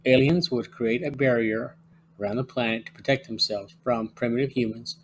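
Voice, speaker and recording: A man hosting a podcast, reading a scripted episode review in a plain, even way. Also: mid-range voice, normal speed, slight background noise, low-quality recording. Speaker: podcast host.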